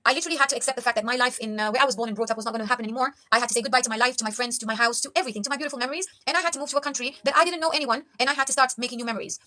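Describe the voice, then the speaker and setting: A woman's voice: on the high side, sharp tone. A young woman from Kenya giving an earnest motivational talk to camera, preaching life tips to her viewers and calling them guys. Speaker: life coach vlogger.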